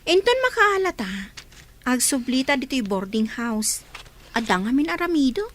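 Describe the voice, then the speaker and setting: Very high, whiny young student girl played by a woman. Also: big pitch swings, moderate pace, fairly bright tone, exaggerated voice. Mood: whiny, complaining. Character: lazy, whiny young student daughter who shuns farm work. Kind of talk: radio comedy drama acting.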